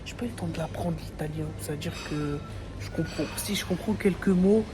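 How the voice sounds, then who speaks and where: A man's voice: fairly high pitch, fairly quick, music in the background. A young man born in Italy and raised in France, vlogging a family road trip in casual slangy chat, talking straight to his viewers. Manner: slangy, rambling, speaks to viewers directly. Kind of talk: casual travel vlog. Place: car and highway rest stop on a road trip.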